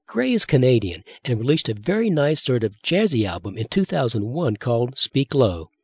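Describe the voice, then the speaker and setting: Male radio host, husky voice, animated pitch, conversational record intros, dry close mic. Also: moderate pace, no room sound. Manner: informative, conversational.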